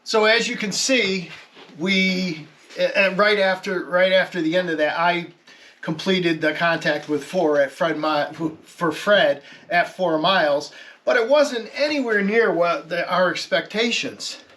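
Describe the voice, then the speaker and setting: Higher-pitched man explaining informally, thinking aloud. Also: measured pace, fairly bright tone, slight background noise. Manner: hesitant, thinking aloud, conversational. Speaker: amateur radio hobbyist presenter. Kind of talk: informal explanatory vlog narration.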